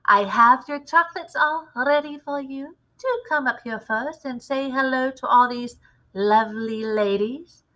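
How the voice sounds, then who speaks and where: Woman playing a slow, theatrical, bright-voiced villainous witch leader. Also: high-pitched. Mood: syrupy sweet. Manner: drawn-out, syrupy and imperious delivery.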